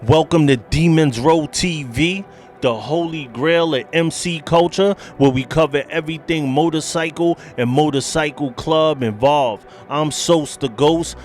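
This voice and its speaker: Slightly high-voiced hype show host. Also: male voice. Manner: hype, slangy shout-outs to viewers. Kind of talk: show intro and interview questions.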